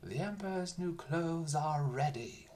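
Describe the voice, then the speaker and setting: A reader voicing a sly, flattering rogue weaver in a storybook tale, presenting in an obsequious, showy way. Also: medium pitch, a little slow, pitched above the performer's own voice, natural voice. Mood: fawning.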